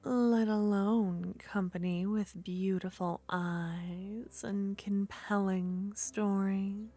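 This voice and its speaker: A lonely young witch voiced by a woman coaxes and flatters in a hushed, half-whispered ASMR voice. She lingers over every word at a very slow pace, and faint music plays underneath. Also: mid-range voice, no echo. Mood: coaxing. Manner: soft-spoken, slipping into whispers, coaxing and flattering. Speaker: ASMR roleplay performer. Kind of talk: ASMR roleplay.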